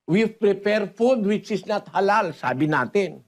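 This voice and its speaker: A man's voice: high voice, lively intonation, unhurried. A man with a Filipino accent giving informal religious teaching in a conversational, explanatory way with anecdotes.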